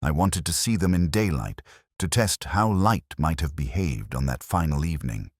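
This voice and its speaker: Very deep, brooding detective played by a man. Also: animated, breathy edge, dry recording, studio quality, full character voice. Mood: dry, wry. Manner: measured, literary, brooding. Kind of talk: scripted first-person mystery narration.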